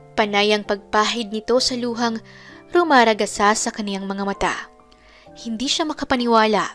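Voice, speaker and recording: A woman's voice: mid-range voice, a bit bright. A woman narrating a scripted romance story in a dramatic storytelling style. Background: background music.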